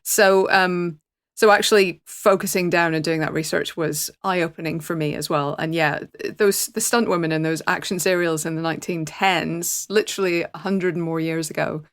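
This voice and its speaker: A woman, a film journalist and guest on a podcast, talking keenly and warmly with a self-deprecating humour. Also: fairly low pitch, fairly even intonation, moderate pace. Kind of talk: casual interview chat.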